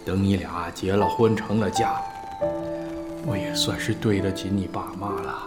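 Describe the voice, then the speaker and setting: A man's voice: deep voice, steady tone, moderate pace, lightly acted. A man playing a blunt, teasing guardian in an audio drama, with a coarse, needling way of talking. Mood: frank, paternal. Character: blunt, teasing male guardian of a younger friend. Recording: loud music under the voice.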